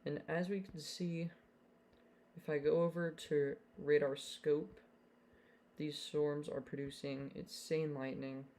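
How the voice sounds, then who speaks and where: A man's voice: a little high, little pitch movement, takes it slowly, a little mellow. A young man hosting a live weather stream, talking casually with fillers and giving urgent storm warnings from his home setup. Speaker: livestream weather host. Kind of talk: live storm-coverage commentary.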